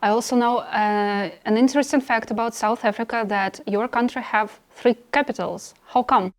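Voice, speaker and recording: Higher-pitched Russian woman hosting an interview. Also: steady tone. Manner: attentive, frequent backchannels, halting phrasing. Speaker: podcast host. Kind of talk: conversational interviewing.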